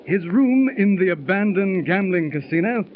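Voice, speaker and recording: An actor playing a chatty, superstitious English gambler with an upper-class English accent, in a 1930s radio drama. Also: male voice, high voice, lively intonation, moderate pace, a little hiss or hum. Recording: tinny 1930s radio transcription.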